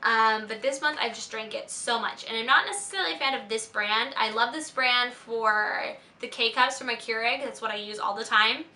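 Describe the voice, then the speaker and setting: A young American woman speaks in a fairly high, bright voice with a slight rasp. She chats casually like a lifestyle vlogger, gushing and stressing her points. The sound is echoey, as if in a room at home. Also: moderate pace, few pauses. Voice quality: slightly raspy. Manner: gushing, informal, emphatic. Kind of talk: casual chatty product favorites video. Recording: roomy echo, faint background noise.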